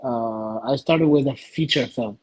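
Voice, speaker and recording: A man with an Egyptian accent giving casual interview answers in short, halting phrases with many pauses. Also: mid-range voice, background noise. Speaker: interview guest, filmmaker.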